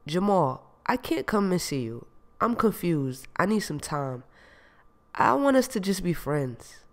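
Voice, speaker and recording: A woman's voice: fairly low pitch, sing-song, a little slow, pitched above the performer's own voice. Man voicing a sassy, dismissive young woman. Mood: defiant. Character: young woman, cool and dismissive, then defiant.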